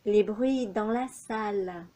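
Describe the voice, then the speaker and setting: Very slow, mellow woman guiding relaxation. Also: medium pitch. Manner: unhurried, measured phrases with pauses. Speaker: yoga nidra instructor. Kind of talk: guided relaxation meditation instructions.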